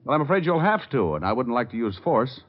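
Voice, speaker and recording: An actor with an American accent playing a tough, level-headed private detective in a radio drama, dry and wisecracking. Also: male voice, mid-range voice, sing-song, quick pace. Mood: firm, explanatory. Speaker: lead actor. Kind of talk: old-time radio drama acting. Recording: muffled old radio recording.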